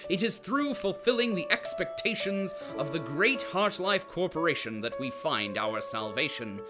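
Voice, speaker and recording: A man's voice: on the high side, close to an everyday voice. A man playing a pompous, preachy corporate propaganda announcer narrating an audio drama serial. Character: bombastic corporate propaganda announcer, pious and grandiose.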